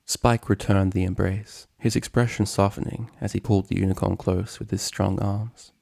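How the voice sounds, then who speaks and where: A male narrator reads a dramatic story. His voice is low and noticeably gravelly, with a solemn, measured delivery, recorded very dry and close. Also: level tone, darkish tone, no echo. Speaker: narrator, fan fiction reader.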